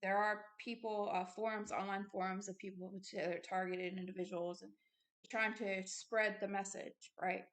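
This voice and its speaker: Woman giving halting, earnest commentary. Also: mid-range voice, flat delivery, a bit bright. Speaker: religious video host. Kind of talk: informal commentary while reading aloud from a book.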